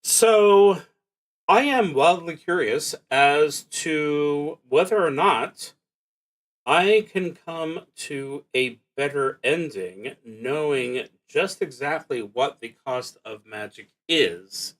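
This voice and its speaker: A man hosting a solo tabletop roleplaying stream, talking casually and haltingly as he thinks aloud, with long pauses. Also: a little high, lively intonation, rough recording.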